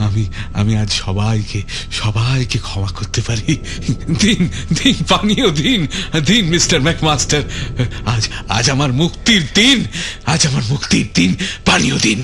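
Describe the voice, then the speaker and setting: An actor playing a desperate, emotional castaway in a radio play, halting and repeating his words feverishly. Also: mid-range voice, very animated, creaky, fairly bright tone, a little hiss or hum. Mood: elated, forgiving. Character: desperate stranded male castaway longing for home. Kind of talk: Bengali radio play acting. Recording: radio play with music underneath.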